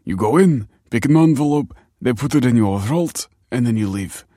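A kindly old grandfather from an audiobook, acted by a male narrator. His voice is husky and sits higher than the narration. He talks in a wry, reassuring way, and the sound is dry. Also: expressive, moderate pace, dark tone, no echo, natural voice. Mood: wry, amused. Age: older. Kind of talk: audiobook character dialogue.

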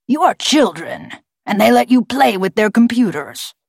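A woman voicing a stern, gravelly male raven. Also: a little high, big pitch swings, normal speed, dry recording, studio quality, voice pushed up, exaggerated voice. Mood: lecturing, stern. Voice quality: forced, gravelly. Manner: formal, accusing, imperious. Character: stern, proud, distrustful male talking raven. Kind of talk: audiobook character voice.

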